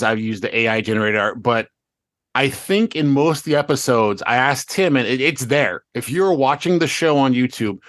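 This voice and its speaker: A man with a medium-pitched voice talks as a podcast host in a dry, close-sounding space. He rambles casually and animatedly, slipping into quick re-enactments of things people said. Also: no echo. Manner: animated, rambling storytelling, acting out remembered remarks. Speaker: podcast host and showrunner of an audio drama. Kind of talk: casual chat looking back on a show's season.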